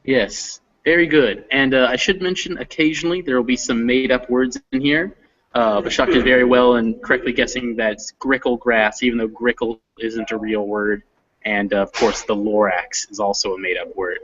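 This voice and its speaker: A man's voice: medium pitch, fairly even intonation, normal speed, fairly bright tone, dull audio, a little hiss or hum. A man teaching an online English reading class, friendly and a little hesitant, with lots of fillers. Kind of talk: online class, casual instruction. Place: classroom, not his usual one.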